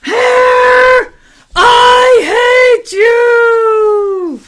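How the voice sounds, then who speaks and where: A woman's voice: very high voice, lively intonation, measured pace, fairly bright tone, pitched above the performer's own voice, exaggerated voice. A woman reading a storybook as an exasperated young boy. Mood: furious. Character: Aaron, exasperated young boy with runaway hair.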